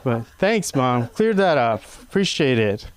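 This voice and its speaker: A man's voice: medium pitch, sing-song, a little slow, a crowd in the background. A man doing open mic stand-up, rambling and self-deprecating with many fillers. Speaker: stand-up comic. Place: comedy club stage.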